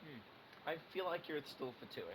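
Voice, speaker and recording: A man's voice: on the high side, lively intonation, normal speed, a loud crowd, rough recording. A man giving casual, offhand let's play commentary in short asides as he plays a video game. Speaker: gaming video host. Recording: loud game music behind voice.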